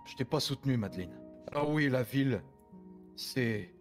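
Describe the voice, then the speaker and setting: Man acting as middle-aged farmer dad, medium pitch, halting, tender, protective, game roleplay, music clearly behind. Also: expressive, natural voice. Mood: remorseful, tender. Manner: halting, plain-spoken, searching for words. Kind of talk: improvised online game roleplay in French.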